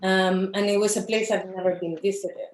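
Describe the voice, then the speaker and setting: A woman's voice: mid-range voice, steady tone, normal speed, roomy sound. A woman from Chile, an artist speaking in an interview who searches for her words with many fillers and restarts. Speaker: filmmaker, interview guest. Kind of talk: reflective conversation about her films.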